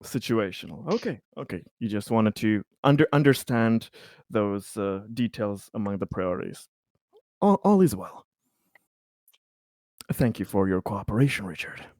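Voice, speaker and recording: A man playing a tabletop roleplaying game, talking casually in halting, tentative phrases as he describes his character's actions. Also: on the low side, lively intonation, darkish tone, no room sound, studio quality.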